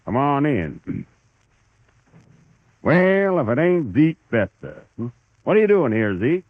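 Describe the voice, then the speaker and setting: A man's voice: on the high side, big pitch swings, slow, no echo, phone-quality sound. A man playing a cantankerous, feuding old hillbilly father with a folksy Kentucky drawl in a 1930s radio comedy. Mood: folksy, dry. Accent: put-on rural Kentucky hillbilly. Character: cantankerous old hillbilly pappy nursing a family feud. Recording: thin, band-limited 1930s radio recording.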